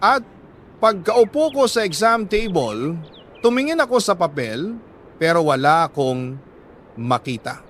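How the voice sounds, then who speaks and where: Filipino man, slightly high voice, dramatic scripted narration, earnest and emotive, deliberate pauses, some background noise. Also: very animated, fairly bright tone. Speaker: radio drama narrator reading a letter sender's story. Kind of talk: dramatic first-person scripted storytelling.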